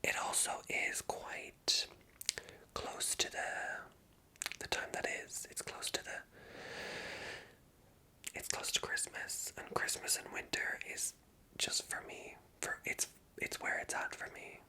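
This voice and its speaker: An ASMR creator speaking in a soft whisper, chatting casually and rambling along as they address viewers directly. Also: slow pace, long pauses, low-quality recording. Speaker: ASMR video creator and storyteller.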